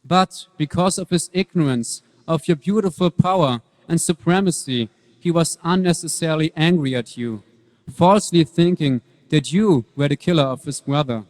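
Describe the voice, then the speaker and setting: A fairly high voice rings in a reverberant hall as a humble young prince played by a man prays. He is earnest, pious and pleading, in the style of sacred drama. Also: moderate pace, a little mellow, natural voice. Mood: reverent, pleading. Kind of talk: dramatic scripture reading.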